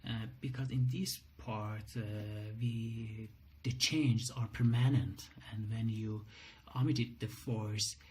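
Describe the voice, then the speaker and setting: A man with a Persian accent giving a halting technical lecture in his second language, pausing often on fillers. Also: fairly low pitch, fairly even intonation, darkish tone, a little hiss or hum. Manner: halting, many filler pauses. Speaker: engineering lecturer. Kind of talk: bilingual whiteboard lecture.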